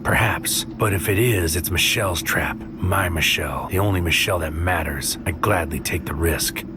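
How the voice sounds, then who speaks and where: A man's voice: deep voice, normal speed, close to an everyday voice. An actor playing a brooding, obsessed psychic soldier who narrates his own story as an intense noir audio drama monologue. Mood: ominous, brooding. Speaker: narrator and lead character. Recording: music under the voice.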